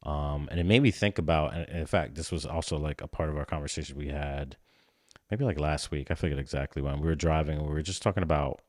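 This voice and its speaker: Very deep-voiced teasing man. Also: fast talker, no room sound, clean studio sound. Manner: teasing, laughs often. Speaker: podcast co-host and pastor. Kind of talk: casual chat.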